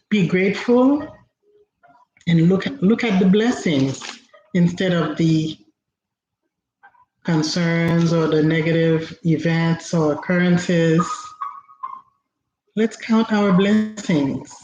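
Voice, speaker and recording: Woman from haiti, creole is her first language, slow thoughtful talk, lots of ums, faint hiss in the background. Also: fairly low pitch, a little mellow. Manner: slow, reflective, with frequent pauses and ums. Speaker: host. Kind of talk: inspirational talk. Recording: roomy, dull lo-fi recording with faint noise.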